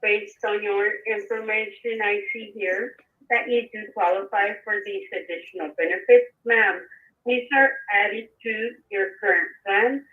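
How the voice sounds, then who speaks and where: A woman's voice: medium pitch, fairly even intonation, measured pace. A woman telemarketer reading a formal script on a phone call, pressing for answers. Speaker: telemarketing caller. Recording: muffled, distant phone recording.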